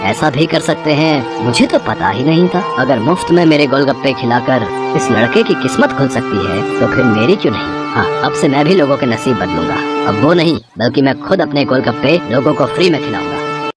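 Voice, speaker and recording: A man's voice: mid-range voice, expressive, moderate pace, over loud music, close to an everyday voice. A man playing a proud, rude, greedy street-food seller in a cartoon moral story, boastful and dismissive. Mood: scheming. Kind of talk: acted Hindi moral-story cartoon dialogue.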